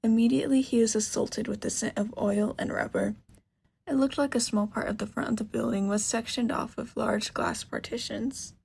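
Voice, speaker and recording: Female audiobook-style narrator: fairly high voice with plenty of fry and a breathy, husky edge. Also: steady tone, normal speed, a little mellow. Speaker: narrator, fan fiction reader. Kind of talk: scripted story narration.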